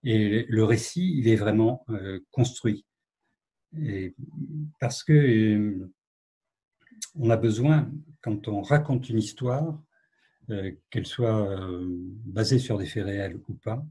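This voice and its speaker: Male novelist, mellow breathy voice, thoughtful and halting, over a video call. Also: fairly low pitch. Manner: reflective, hesitant, many fillers and restarts. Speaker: novelist, guest. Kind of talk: online literary conversation.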